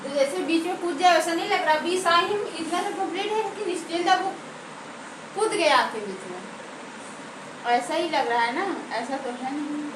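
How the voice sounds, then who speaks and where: A woman's voice: extremely high pitch, lively intonation, moderate pace. A woman with an Indian accent giving an informal grammar lesson as a teacher, explaining step by step and often checking understanding with questions. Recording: roomy echo, distant mic, clear background noise.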